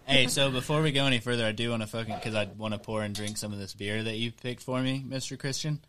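A man's voice: mid-range voice, steady tone, quick pace, bright, forceful tone. Brief: man, podcast host, casual and profane, mid-range voice, light hiss behind. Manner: laid-back, swears freely, calls people dude and bro.

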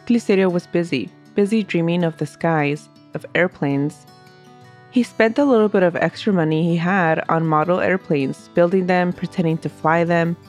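A woman hosting a history podcast, reading a scripted story aloud while stuffy from a cold. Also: on the low side. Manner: steady storytelling narration. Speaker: podcast host.

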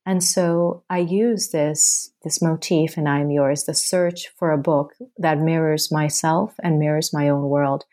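A woman's voice: sits low, fairly even intonation, a little slow, hardly any pauses, dark tone. A woman from Bangladesh, an author answering interview questions with earnest, rhythmic, building phrases. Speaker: author guest.

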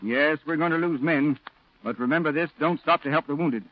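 Actor as fast-talking, authoritative Marine colonel. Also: male voice, a little high, lightly acted. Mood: grave, firm. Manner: formal, decisive. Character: authoritative, sober Marine colonel briefing his officers. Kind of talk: 1940s radio acting. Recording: muffled old radio broadcast recording.

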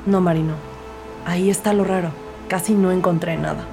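An actress playing an earnest rookie woman detective, narrating her case in a measured, formal way in an audio drama. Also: lowish voice, steady tone, gravelly, over music. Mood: businesslike. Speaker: narrator and lead character.

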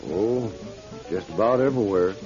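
An actor playing a rootless traveling stranger, laconic and folksy, in a radio drama. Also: male voice, on the low side, moderate pace, close to an everyday voice. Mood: guarded, casual. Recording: dull radio recording, music behind.

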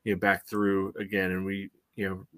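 Male podcast co-host, low flat delivery, halting casual sports analysis full of "you know". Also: dry recording.

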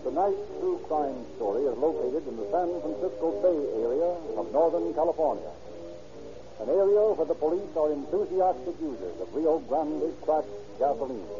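A man's voice: on the high side, animated, normal speed. A man announcing and narrating from a script in a formal, declamatory 1930s radio style. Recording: dull old radio broadcast. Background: music.

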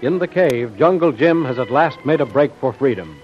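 A man's voice: medium pitch, animated, moderate pace, over loud music. A man with an American accent narrating a scripted 1940s radio serial in a dramatic, declamatory manner. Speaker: announcer and narrator. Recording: dull old radio recording.

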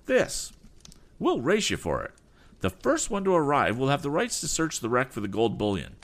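A man's voice: mid-range voice, huge pitch swings, normal speed, voice pushed up. A man voicing an insolent, smug rival businessman in a storybook reading. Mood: bold. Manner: sneering, pushy.

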